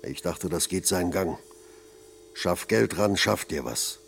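An actor playing a blunt, patronising middle-aged factory foreman with a gravelly voice in a German radio play. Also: low-pitched, measured pace, a little hiss or hum. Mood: regretful, paternal. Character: gruff paternal older factory foreman.

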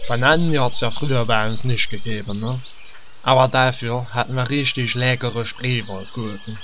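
A man voicing a slow-witted male guild member, talking in a halting, naive way. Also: medium pitch, fairly even intonation, pushed and bright, a little hiss or hum, lightly acted.

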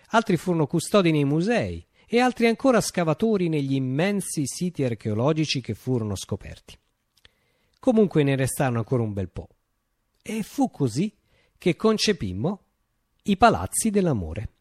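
An actor playing a visionary man recounting his utopian revolution in a declamatory, deliberate radio monologue. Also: medium pitch, darkish tone, dry recording, studio quality. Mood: measured, wry. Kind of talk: theatrical radio monologue, dramatic storytelling.

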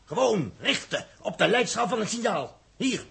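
An excitable ship's technician in a vintage space radio play. He is impatient and repetitive, with a high, very raspy voice that leaps up and down. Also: normal speed, exaggerated voice. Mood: scornful, eager. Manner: repetitive, agitated. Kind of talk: 1960s Dutch radio drama acting. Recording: rough early 1960s radio recording.

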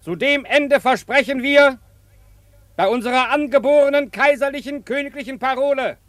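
A man's voice: very high-pitched, moderate pace, fairly bright tone, dry recording. A man playing a formal, pompous emperor who declaims a royal proclamation in a radio play. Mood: solemn, pompous.

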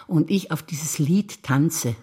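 An older German woman, a stage actor, reciting her personal memories calmly and wistfully in a theatre piece. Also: deep voice, slow pace, husky.

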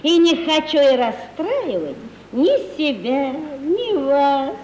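An actress playing a coy, fawning country-estate housekeeper with plaintive, folksy speech in an old radio comedy. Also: female voice, high voice, very animated, takes it slowly, a little mellow, full character voice. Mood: coy, flirtatious. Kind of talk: 1950s Russian radio stage comedy acting. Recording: roomy, noisy old 1950s radio recording.

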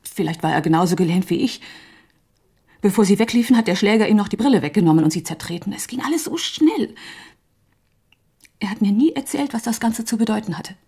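An actress playing a grieving, doubting woman in a radio drama, speaking haltingly with a breathy, husky voice. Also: medium pitch, lively intonation. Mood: troubled, confiding. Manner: hesitant, halting, hushed. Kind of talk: naturalistic radio drama acting.

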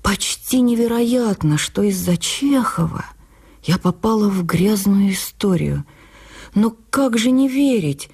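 An actress playing an earnest, wounded woman writer, narrating her memoir for radio in an expressive, confiding, deliberate manner. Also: medium pitch, breathy edge. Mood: hurt, indignant. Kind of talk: dramatized first-person literary narration for radio.

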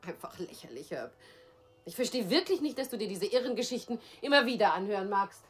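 An actress in a radio play playing an impatient, dismissive wife with an exasperated, scornful manner. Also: female voice, medium pitch, big pitch swings, a little fast, soft background music, natural voice. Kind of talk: 1980s German radio play acting.

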